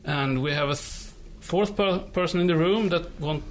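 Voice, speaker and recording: A man with a Swedish accent hosts a relaxed interview in a room with some faint noise behind him. His voice is a bit higher than most men's, and he phrases questions in a casual, slightly hesitant manner. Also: moderate pace. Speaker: podcast host, interviewer. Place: room at a comics show.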